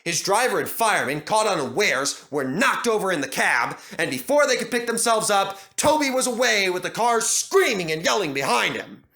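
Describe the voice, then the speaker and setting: A man reading a children's railway story aloud with animated, expressive narration. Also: high-pitched, moderate pace, few pauses.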